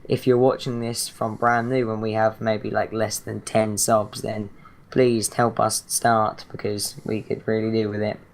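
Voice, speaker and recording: A teenage British boy, hoarse and croaky from a sore throat, introducing his gaming channel in a rambling, chatty way full of fillers and restarts. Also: on the low side, little pitch movement, a little slow. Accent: British English. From Britain. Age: teens. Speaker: channel host.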